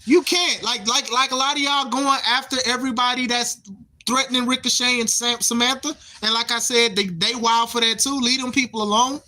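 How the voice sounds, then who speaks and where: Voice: medium pitch, fairly even intonation, fairly quick, sharp tone. A podcast host in their thirties giving casual opinion commentary, rambling and repeating words, with slangy phrasing. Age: 30s.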